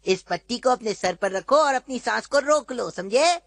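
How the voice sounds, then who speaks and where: A woman's voice: on the high side, expressive, moderate pace, no room sound. A woman voicing a sly, bossy trickster ninja in a Hindi cartoon dub, cocky, wheedling and mocking. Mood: falsely encouraging.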